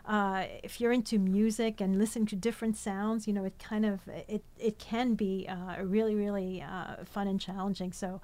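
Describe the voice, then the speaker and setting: A woman's voice: medium pitch, little pitch movement, normal speed, few pauses, dark tone, no echo. A woman born in France, a cookbook author, chatting as an interview guest, talking with many fillers and restarts. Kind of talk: casual interview chat.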